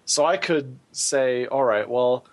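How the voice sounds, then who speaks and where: A man's voice: mid-range voice, takes it slowly. A man hosting a podcast, chatting casually about gaming in an informal way, thinking aloud as he goes. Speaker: podcast host.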